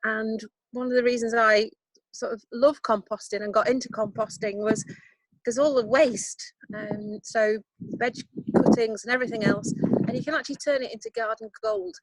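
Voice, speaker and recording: A woman's voice: on the high side, normal speed, dry recording, low-quality recording. A woman with a Yorkshire accent giving a casual composting workshop outdoors over a video call, chatty and self-deprecating with lots of ums. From Yorkshire, England. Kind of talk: casual online workshop talk. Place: outdoors in a garden.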